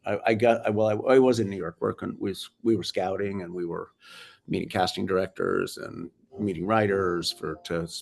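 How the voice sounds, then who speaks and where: Gravelly-voiced older American man, rambling. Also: low voice, fairly even intonation, normal speed. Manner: rambling, restarts sentences often. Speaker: film director guest. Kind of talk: casual interview chat.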